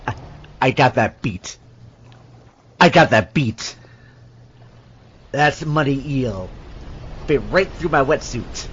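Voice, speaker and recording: Man playing cocky, slow-talking young shark scientist, lo-fi. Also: medium pitch, expressive, husky, heavily acted. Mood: cocky, playful. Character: eager, cocky young male shark scientist trading scar stories. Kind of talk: amateur audio drama film reenactment. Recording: dull lo-fi audio with loud background noise.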